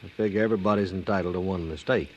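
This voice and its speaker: A man's voice: deep voice, very fast, close to an everyday voice. An actor playing a self-assured, conciliatory American frontier lawman in an old western radio drama, with a folksy, persuasive manner. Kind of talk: 1950s western radio acting. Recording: noisy old radio recording.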